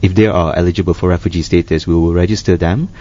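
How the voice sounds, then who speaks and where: A man speaking as a humanitarian worker guest in a panel discussion, halting and full of restarts. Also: sits low, steady tone, warm tone. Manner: halting, many restarts and fillers. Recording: dull lo-fi studio sound.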